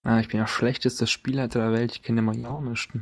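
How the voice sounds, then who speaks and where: A man chatting casually as game master of a tabletop role-playing game, talking in slangy, informal, halting phrases. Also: medium pitch, little pitch movement, gravelly.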